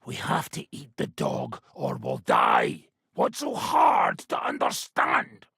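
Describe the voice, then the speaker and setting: An actor playing a rough, hot-tempered, hard-drinking mercenary soldier in a video game, with a gravelly, raspy voice and coarse, blunt speech. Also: male voice, rumbling low, wildly swooping pitch, slow pace, pushed and bright, no echo, studio quality, full character voice. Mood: gruff, pushy. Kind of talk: video game character dialogue.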